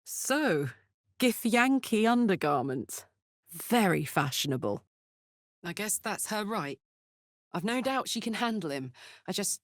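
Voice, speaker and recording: A woman's voice: on the low side, no room sound, studio quality. An actress voicing a brash, big-hearted tiefling warrior woman in a video game, talking bluntly like a battle-hardened soldier with her feelings in the open. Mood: subdued. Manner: blunt, informal, emotionally open soldier's talk. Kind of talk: video game character voice acting.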